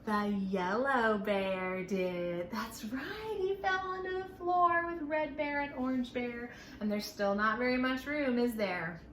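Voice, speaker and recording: A woman's voice: on the high side, slow pace, hardly any pauses, a little hiss or hum. A woman leading a children's storytime, talking in an exaggerated singsong way as if to small children. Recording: echoey room.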